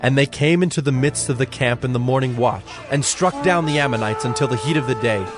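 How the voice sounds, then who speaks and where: A male narrator reads a scripture story in a solemn, measured way. His voice is medium in pitch, with music playing clearly behind it.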